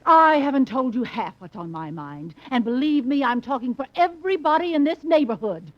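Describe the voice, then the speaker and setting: An indignant scolding neighbor. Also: female voice, high-pitched, sing-song, normal speed. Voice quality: scolding, declamatory. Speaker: radio drama actress. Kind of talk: 1950s radio acting. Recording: muffled old radio recording.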